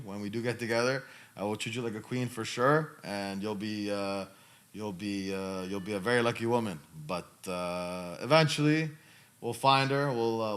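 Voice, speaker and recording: A man in his thirties talking casually as a podcast host, emphatic and given to repeating his phrases. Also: on the low side. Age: 30s. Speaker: podcast host answering questions. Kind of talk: casual conversational talk.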